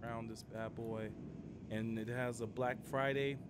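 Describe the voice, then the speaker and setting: A man's voice: mid-range voice, little pitch movement, a little slow, background noise. A man chatting informally and in a rambling way to viewers as he streams a game. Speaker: live streamer. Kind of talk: casual gaming livestream chat.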